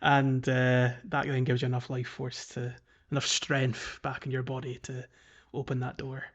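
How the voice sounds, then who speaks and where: A man's voice: medium pitch, monotone, moderate pace, highs cut off. A man co-hosting a podcast, casually recapping a video game in a loose, explanatory way with hedging asides. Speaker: podcast co-host.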